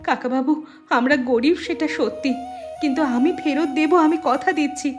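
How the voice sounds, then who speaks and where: A woman's voice: high voice, moderate pace, over music, natural voice. A woman voicing a brave, devoted elder sister in a cartoon, earnest and determined. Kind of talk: cartoon voice acting.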